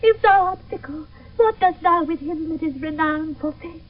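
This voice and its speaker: A woman's voice: extremely high pitch, normal speed. An actress playing an impassioned, grieving young noblewoman in love, in classical Shakespearean radio drama. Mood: anguished. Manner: impassioned, declamatory verse delivery. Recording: tinny, narrow-band old radio broadcast.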